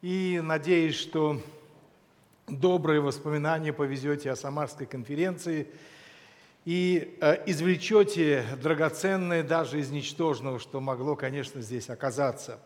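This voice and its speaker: High-voiced man preaching in a reverberant hall. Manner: solemn, deliberate, with quotations and scripture; frequent throat clearing. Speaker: preacher closing a church conference. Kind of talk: sermon.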